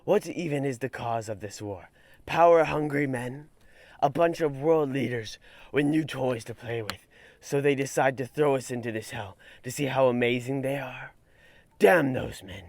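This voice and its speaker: A man's voice: medium pitch, normal speed, no room sound, close to an everyday voice. A young man reading aloud as a grim, weary soldier writing a letter home from the trenches, in a solemn, dramatic voice. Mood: bitter. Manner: solemn, mournful, sometimes hushed.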